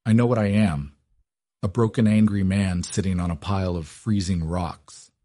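A man voicing a bitter, feverish duke who speaks quietly and bluntly, with a low growl. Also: fairly even intonation, moderate pace.

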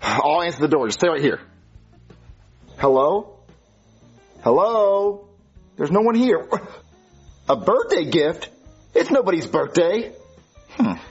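A man playing an exasperated, easily flustered dad in comic puppet-show dialogue, whiny and scolding, with a husky voice. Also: fairly high pitch, very animated, slow, pauses often, muffled recording, soft background music, heavily acted.

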